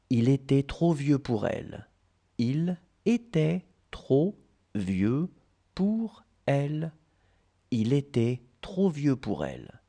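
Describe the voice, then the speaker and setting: Slow gravelly dictation voice. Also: medium pitch, warm tone. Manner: repeats each phrase, splitting it into chunks. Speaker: language tutor.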